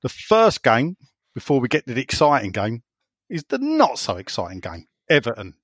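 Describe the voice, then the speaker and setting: A man's voice: medium pitch, huge pitch swings, normal speed, a bit bright, no room sound, clean studio sound. Jokey man hosting a podcast. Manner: banters, teasing, stop-start with many fillers. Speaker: podcast host standing in. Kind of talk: casual chat, jokey.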